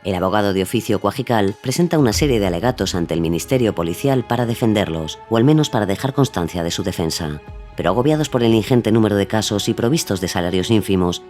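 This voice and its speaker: A man delivering scripted documentary narration as the narrator of an audio series. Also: fairly low pitch. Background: soft music.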